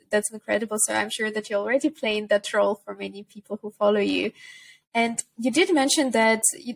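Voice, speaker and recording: A woman hosting a podcast, asking careful questions and praising her guest. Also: medium pitch. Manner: warm, admiring, careful questioning. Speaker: podcast host. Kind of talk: interview questions.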